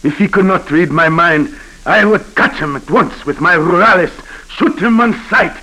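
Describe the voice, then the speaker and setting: An actor playing an imperious, impatient Haitian governor with a French accent in a 1940s radio drama. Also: male voice, high-pitched, moderate pace, bright, forceful tone, full character voice, low-quality recording. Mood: frustrated, fervent. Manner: commanding, impatient, emphatic. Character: imperious, superstitious Haitian governor, former rebel leader, fiery. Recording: vintage 1940s radio broadcast.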